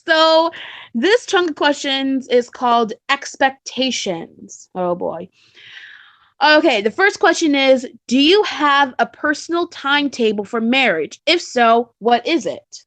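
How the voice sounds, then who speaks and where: High-voiced, unhurried woman co-host. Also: expressive, a bit bright, dry recording. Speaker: co-host reading questions. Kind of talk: casual chat, reading questions aloud.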